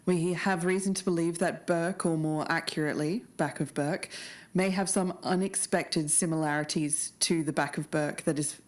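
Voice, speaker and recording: A woman narrating an investigative podcast as co-host in a measured way. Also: low-pitched, fairly even intonation.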